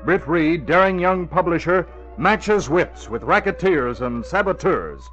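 A man's voice: fairly high pitch, animated, measured pace, a bit bright, soft background music. An American man narrating a 1940s radio drama in a theatrical, emphatic and formally enunciated style. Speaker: announcer and narrator. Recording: dull, noisy vintage radio recording.

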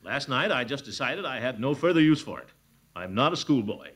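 A pompous, blustering middle-aged bachelor uncle in a 1940s radio comedy. He chuckles and wheedles, and his pitch swings widely from low rumbles to high yelps. His voice has a slightly husky edge. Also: expressive, a little fast, a bit bright.

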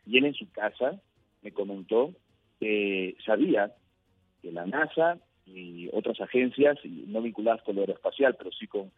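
A man from Peru, answering as a radio interview guest in measured, emphatic phrases. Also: lowish voice, lively intonation, slightly rough, no room sound, faint music behind. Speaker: interview guest, UFO researcher. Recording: thin phone-like line.

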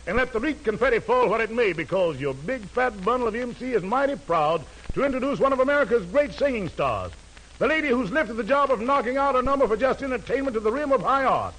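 Male variety-show MC, highly animated and hip, grandiose patter, vintage radio with slight noise and audience. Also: poor audio. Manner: grandiose, jive-talking showman patter. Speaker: master of ceremonies. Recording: old radio broadcast, faint crowd and noise. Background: faint audience.

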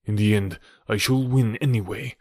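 A man's voice: lowish voice, steady tone, normal speed, a little mellow, no room sound, studio quality. A man doing a scheming guttural villain. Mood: menacing.

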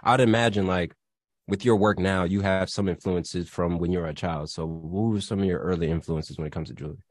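A man's voice: low voice, steady tone, a little fast, no echo, studio quality. A young man hosting a casual podcast, asking long, loose questions in laid-back slang. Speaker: podcast host.

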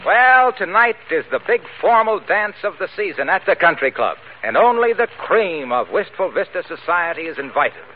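A man's voice: sits high, sing-song, moderate pace, hardly any pauses, faint music behind. A man with an American accent giving a polished, persuasive scripted announcement in old-time radio style. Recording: tinny, noisy old radio broadcast.

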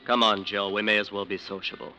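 An actor playing a jumpy, defensive American lawyer under pressure, curt and clipped, in an old radio crime drama. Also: male voice, lowish voice, little pitch movement, fast talker, bright, forceful tone. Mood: defensive, bitter. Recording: faintly noisy old radio recording.

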